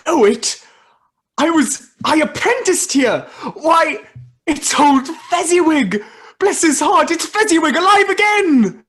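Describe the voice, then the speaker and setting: A woman playing a regretful, easily moved old man in a radio play, with a husky, breathy voice and halting, dramatic delivery. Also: extremely high pitch, lively intonation, heavily acted. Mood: overjoyed. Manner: halting, dramatic, swinging between exclamation and hush.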